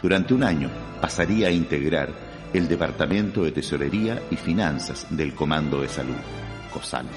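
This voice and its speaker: A man's voice: extremely low pitch, lively intonation, moderate pace. A man giving solemn, scripted radio drama narration as a storyteller. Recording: long reverb over prominent music.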